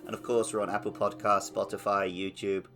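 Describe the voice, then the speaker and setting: A man with a fairly low voice talks as a podcast co-host. His delivery is casual chat about gaming, and music plays behind him. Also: little pitch movement, brisk, pushed and bright.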